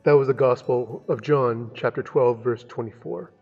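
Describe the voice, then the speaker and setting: An older man, a pastor, giving a relaxed, conversational devotional and prayer into a webcam in a large indoor room. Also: medium pitch, moderate pace, husky, soft background music. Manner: conversational, relaxed, musing with asides. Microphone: webcam, close framing. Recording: dull lo-fi webcam sound.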